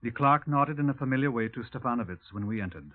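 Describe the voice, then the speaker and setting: A man's voice: mid-range voice, expressive, fast, close to an everyday voice. An actor playing a dry, observant policeman who narrates a 1950s radio drama. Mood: dry, measured. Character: dry, observant police officer recounting a case. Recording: tinny narrow-band 1950s radio.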